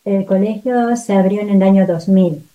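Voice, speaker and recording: A woman's voice: mid-range voice, normal speed, warm tone, lo-fi sound. A woman missionary nun giving spontaneous interview testimony in an earnest, reflective way.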